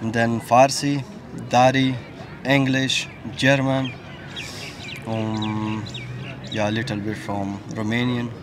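A man in his thirties from Pakistan, a native Pashto speaker, answering a street interview in halting English with many fillers. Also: medium pitch, flat delivery. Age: 30s. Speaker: passer-by interviewee. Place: outdoor park path. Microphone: handheld mic held close. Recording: outdoor street noise.

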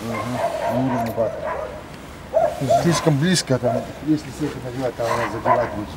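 A man, a truck mechanic, explaining a fitting in a halting, stammering way outdoors. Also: mid-range voice, wildly swooping pitch. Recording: outdoor voice under loud noise.

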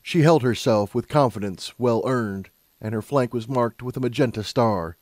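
A man reading scripted fiction aloud as an expressive story narrator. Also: medium pitch, moderate pace, dry recording, studio quality.

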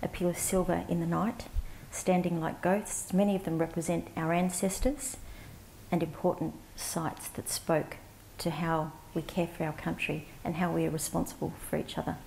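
Soft-voiced woman telling a story on stage. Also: on the low side, flat delivery, creaky, slight background noise, poor audio. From Central Australia. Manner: warm, reflective, halting with fillers and restarts. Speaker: panelist, CEO of an advocacy organisation. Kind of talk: storytelling conference talk. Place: conference stage before a large audience, indoors.

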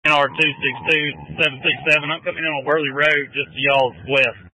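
Sharp, bright man on police radio. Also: fairly high pitch, fairly even intonation, a little fast, no room sound, low-quality recording. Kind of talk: brief police radio check-in.

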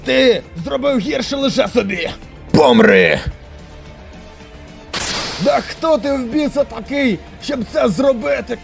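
A man's voice: very high-pitched, moderate pace, creaky, hardly any pauses, soft background music. A man voicing a hot-headed, vengeful young male thug in a comic dub, taunting and aggressive. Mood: furious.

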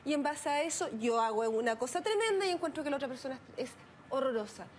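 A woman psychologist on a TV court show, explaining in a measured way in a studio. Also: sits high, expressive, slight background noise. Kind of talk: calm expert commentary. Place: TV studio set.

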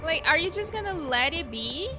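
A woman's voice: very high-pitched, lively intonation, moderate pace. A young woman giving casual, reactive let's play commentary, exclaiming and swearing as she plays. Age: young adult. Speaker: streamer playing a video game. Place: indoor room at home. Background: loud video game music and sound.

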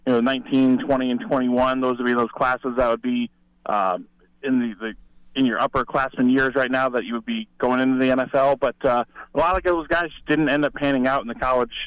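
A man's voice: medium pitch, fairly even intonation, a little fast, no room sound. Man, guest sports analyst, mid-range voice, conversational, slightly muffled call audio. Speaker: sports reporter, show guest.

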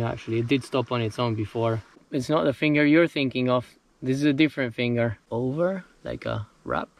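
A man in his thirties, chatting casually to the camera as he paddles solo down a river outdoors, recorded on an action camera mounted on his canoe. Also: medium pitch. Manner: relaxed, chatty, talks to the camera while paddling. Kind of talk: casual outdoor vlog commentary. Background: background music.

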